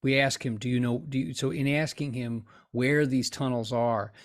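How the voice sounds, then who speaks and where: A middle-aged man playing a tabletop game, casually reasoning aloud with halting restarts. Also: medium pitch, fairly even intonation. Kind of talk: casual improvised game discussion. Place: indoors on a video call.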